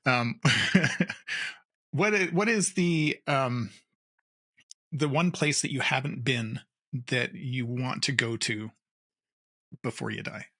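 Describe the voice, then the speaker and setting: A man's voice: mid-range voice, takes it slowly, pauses often, bright, forceful tone. A man hosting a podcast, chatting casually and asking playful, lighthearted questions. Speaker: podcast host. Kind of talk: casual conversation.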